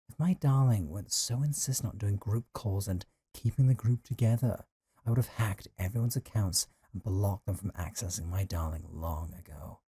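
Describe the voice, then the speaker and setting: A male actor plays a possessive young man in an audio drama, with a deep, mellow voice. He talks casually and intimately, as if to a close friend, with a jealous, lovesick streak under the teasing. The sound is close and dry. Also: breathy edge, no room sound. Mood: jealous, bitter. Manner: casual, teasing, sighing, conversational. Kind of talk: intimate audio roleplay drama, one side of a call.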